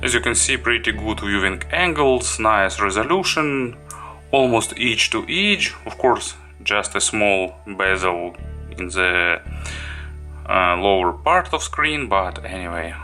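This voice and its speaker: Bright-voiced man reviewing a gadget. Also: on the low side, lively intonation, soft background music. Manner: halting, self-correcting delivery. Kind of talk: unscripted product review walkthrough.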